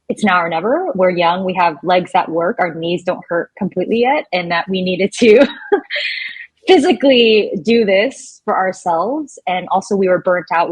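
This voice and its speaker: A woman's voice: lowish voice, slightly rough, dry recording, rough recording. A woman in her thirties chatting casually and informally as an interview guest. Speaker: podcast guest, full-time traveler.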